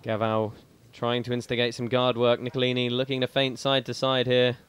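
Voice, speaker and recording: A man's voice: mid-range voice, monotone, a little fast, fairly bright tone. Echoey arena announcer, man. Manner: announcer-style, projecting to the crowd. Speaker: interviewer and commentator. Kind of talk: ringside interviews and live commentary. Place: competition arena. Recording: echoey hall with crowd and noise.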